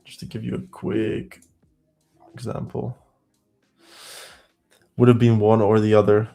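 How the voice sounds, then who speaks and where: Slow-talking man with a heavy Belgian accent. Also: fairly low pitch, level tone, gravelly, warm tone. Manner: unhurried, thinks aloud while counting trades. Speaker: day trading streamer. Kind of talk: live trading stream commentary.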